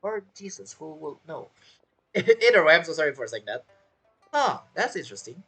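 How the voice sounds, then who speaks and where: A woman's voice: low-pitched, sing-song, moderate pace, pushed and bright, slightly rough, faint music behind. A woman giving chatty, playful reaction commentary as she streams a game. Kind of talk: casual reaction commentary over gameplay.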